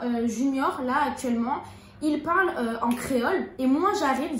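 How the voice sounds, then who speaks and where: A high-voiced woman reads scripture in a measured, deliberate way and then explains it in casual talk. The room is echoey with faint noise underneath her voice. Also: a little mellow. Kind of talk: reading scripture aloud and casual chat.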